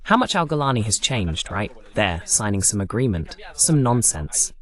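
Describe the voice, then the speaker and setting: A man's voice: lowish voice, sing-song, moderate pace. A man voicing a political talk show host in translation, presenting the discussion.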